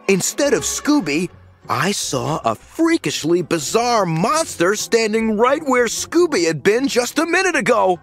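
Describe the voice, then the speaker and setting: A man narrating an audiobook in the first person with lively storytelling. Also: high voice, soft background music. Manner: lively storytelling, slow and animated.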